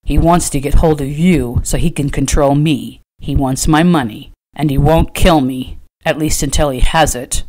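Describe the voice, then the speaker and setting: Woman voicing a gruff, commanding rancher, low-pitched. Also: moderate pace, few pauses, darkish tone, rough recording. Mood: gruff, dismissive. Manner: terse, stern, giving orders. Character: commanding, gruff man, protective rancher in a Western romance.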